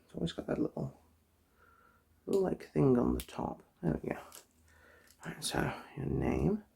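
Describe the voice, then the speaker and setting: A soft-spoken, often whispering person doing an ASMR eye exam roleplay, chatting gently and politely without hurry, close to the microphone. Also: mid-range voice, gravelly, slightly rough. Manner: gentle, unhurried, polite chatty bedside patter. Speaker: ASMR performer playing an eye doctor.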